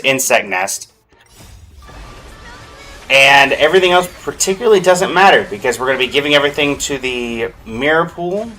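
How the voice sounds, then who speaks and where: A man's voice: mid-range voice, bright, forceful tone. A man doing casual, chatty livestream commentary on a video game, speaking directly to his viewers. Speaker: live streamer.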